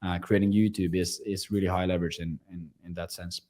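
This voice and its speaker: A man's voice: low voice, flat delivery, fairly quick, mellow. Young Finnish-accented man, casual non-native English, interview guest. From Finland. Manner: loose, casual, swears, restarts sentences. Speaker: guest, agency owner.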